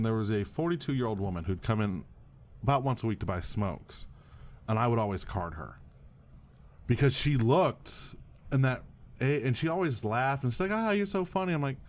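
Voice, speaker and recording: A man in his late twenties co-hosting a podcast, telling rambling, profane anecdotes with restarts. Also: medium pitch. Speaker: podcast co-host. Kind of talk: casual profane chat.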